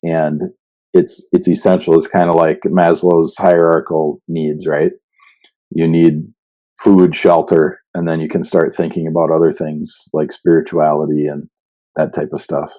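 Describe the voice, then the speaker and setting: Deep, mellow-voiced man chatting casually. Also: level tone, a little slow. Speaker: podcast co-host. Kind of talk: casual chat.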